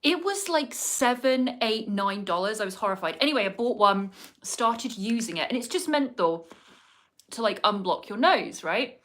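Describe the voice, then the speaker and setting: A woman's voice: on the high side, expressive, normal speed, a bit bright. An English woman on a casual livestream, chatting while doing her makeup at home, rambling with many fillers and asides to her viewers. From England. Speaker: livestreaming vlogger. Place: home indoors in cold winter weather.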